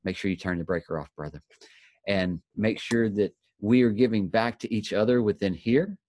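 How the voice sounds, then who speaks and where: Male motivational host, low pitch, folksy and encouraging, dry sound. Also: moderate pace, darkish tone, dry recording. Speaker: host of a daily inspirational group. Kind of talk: motivational group talk.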